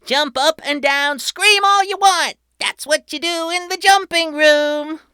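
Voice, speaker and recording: A woman voicing an excited young boy who shows off his inventions, calling out like a showman. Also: slow, no room sound, studio quality, full character voice. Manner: shouty and showman-like, booming announcements.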